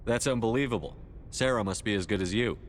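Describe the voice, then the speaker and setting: Game lead: human commander, male actor, lowish voice, calm and courteous, measured questions over a faint rumble. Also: lightly acted. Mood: curious, friendly. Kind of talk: video game dialogue acting.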